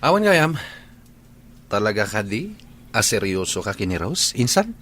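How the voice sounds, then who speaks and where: A cocky, teasing cousin, played by a man in a radio drama. His mid-pitched delivery swoops animatedly, over faint background noise. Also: sing-song, unhurried, a bit bright.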